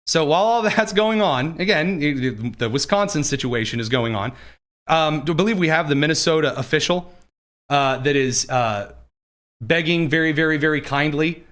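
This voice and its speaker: Male host from Indiana, sarcastic and mocking, with a high, lively voice. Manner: mocking, sarcastic, quick asides. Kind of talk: sarcastic political commentary.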